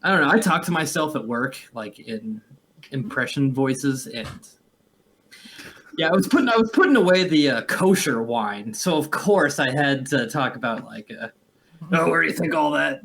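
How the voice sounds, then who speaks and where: A man hosting a casual podcast, his voice sitting a little higher than most. He is jokey and rambling, often laughing at his own lines. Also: expressive, normal speed, vocal fry, fairly bright tone, a little hiss or hum. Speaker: podcast host.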